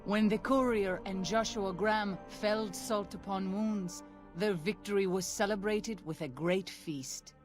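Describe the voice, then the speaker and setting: A woman narrating a game epilogue in an even, somber way, over game music. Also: medium pitch, unhurried.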